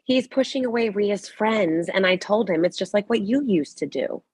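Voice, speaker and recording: A woman playing a blithely judgmental, chatty middle-aged mother with a slightly husky voice in a comedy read. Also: medium pitch, animated, normal speed, darkish tone. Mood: blithely needling. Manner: breezy, needling. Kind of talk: comedy script table read.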